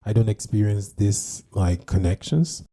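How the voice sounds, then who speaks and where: Man, native english speaker, very mellow dark tone, lowish voice, casual podcast chat, opinionated, self-correcting. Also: moderate pace. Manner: opinionated, corrects himself mid-thought. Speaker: podcast co-host. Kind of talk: casual discussion.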